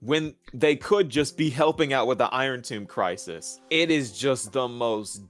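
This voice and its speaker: A person in a casual video game discussion, talking in an animated, exclamatory and giggly way. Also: mid-range voice, a little slow, soft background music. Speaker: guest co-commentator.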